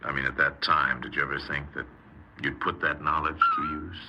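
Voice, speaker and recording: An actor playing a calm, methodical police interrogator with an understated official manner, in 1950s radio drama style. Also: male voice, a bass voice, moderate pace. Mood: calm, probing. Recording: muffled, very noisy old radio recording.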